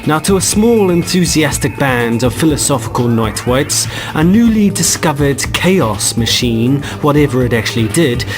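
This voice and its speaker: A man narrating a radio drama in a measured, unhurried storytelling manner. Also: mid-range voice. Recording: loud music behind.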